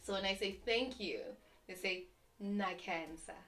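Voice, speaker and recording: A woman's voice: mid-range voice, a little slow, fairly bright tone, faint music behind. A South African woman whose first language is Tsonga, hosting a casual chat and often affirming her guest. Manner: chatty, affirming, laughs easily. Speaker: host.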